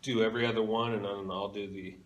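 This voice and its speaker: A man speaking casually as a co-host, in a halting, hesitant way. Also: lowish voice, flat delivery, highs cut off. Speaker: show co-host. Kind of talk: casual planning chat. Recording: echoey room, constant noise.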